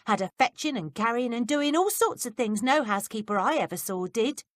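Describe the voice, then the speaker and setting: A woman's voice: a little high, expressive, brisk, no echo, clean studio sound, pitched above the performer's own voice, natural voice. A woman voicing a sly, gossipy young male servant with blunt working-class speech. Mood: grumbling.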